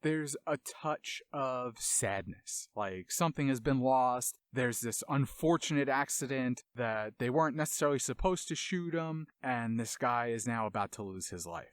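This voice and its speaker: A man's voice: mid-range voice, lively intonation, normal speed, hardly any pauses, smooth, dry recording. A man hosting a video essay with casual analytical commentary, dramatic emphasis and colloquial asides.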